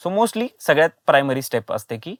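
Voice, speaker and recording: A man's voice: fairly high pitch, sing-song, a little fast, no room sound. Emphatic man explaining, close and dry. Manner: emphatic, explanatory, repeats phrases for stress. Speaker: guest, voice and dubbing actor. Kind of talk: conversational interview explanation with English words mixed in.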